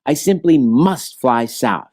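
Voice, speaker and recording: A man's voice: medium pitch, lively intonation, slow, a little mellow. A man voicing a small, anxious, kindhearted bluebird who protests earnestly in a children's storybook. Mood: insistent. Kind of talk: children's storybook read-aloud.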